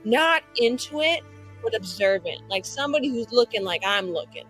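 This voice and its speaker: A woman's voice: fairly high pitch, animated, normal speed, fairly bright tone, soft background music, rough recording. Casual, rambling woman at a game. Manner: rambling, peppered with "like". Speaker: player in a tabletop roleplaying game. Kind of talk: casual, improvised game chat.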